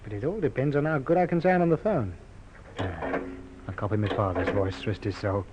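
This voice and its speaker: A man playing a cocky, smooth-talking car thief and con man in an old radio crime drama, breezy and persuasive. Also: on the low side, brisk, dull audio, loud music behind the voice, natural voice. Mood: scheming. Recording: faintly noisy old radio recording.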